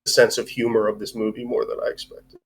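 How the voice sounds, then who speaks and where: A man's voice: fairly low pitch, flat delivery, fast. A man hosting a casual movie review chat, giving opinionated but hedged takes with frequent digressions. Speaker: podcast host.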